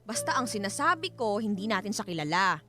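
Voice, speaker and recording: A woman's voice: medium pitch, very animated, fairly quick, bright, forceful tone. A woman acting a wary, fretful wife in a Filipino radio drama. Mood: suspicious, agitated. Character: wary, fretful wife Thelma, anxious about strangers.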